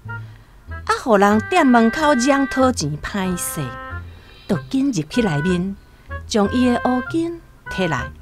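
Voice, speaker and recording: A woman with a Taiwanese accent narrating a literary radio drama as an expressive storyteller. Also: mid-range voice.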